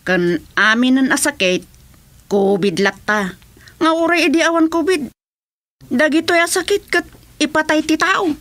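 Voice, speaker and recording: A woman's voice: sits high, a little slow, long pauses, a bit bright. A woman playing a gossipy, indignant village councillor in a radio comedy drama.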